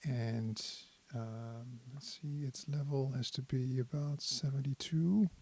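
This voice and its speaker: A man's voice: medium pitch, fairly even intonation, takes it slowly, dark tone, breathy edge. A man giving a casual hands-on tutorial, talking as a presenter who thinks aloud while he works. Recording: synthesizer tones behind the voice. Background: synthesizer sounds.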